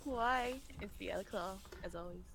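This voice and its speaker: A woman's voice: medium pitch, moderate pace, vocal fry, a bit bright. A woman co-hosting a drawing critique stream, teasing and goofing around. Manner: teasing, goofy. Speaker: co-host of an art critique stream. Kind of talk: casual group chat.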